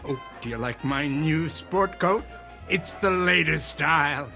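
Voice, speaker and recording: An actor playing a jovial, dandyish man with smooth, insistent charm, genteel and theatrical, in an audio drama. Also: a little high, a little slow, pushed and bright, faint music behind, full character voice. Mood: jovial, chatty.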